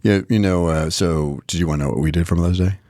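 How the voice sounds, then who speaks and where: A man co-hosting a podcast with casual banter, talking in an animated, teasing way with frequent repeats. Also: low voice, fast talker, dry recording, clean studio sound. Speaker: podcast co-host.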